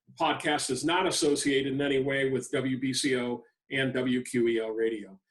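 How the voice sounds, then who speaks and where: A man's voice: medium pitch, flat delivery, normal speed, a bit bright, far from the mic. An American man hosting a podcast interview in a conversational way. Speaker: podcast host.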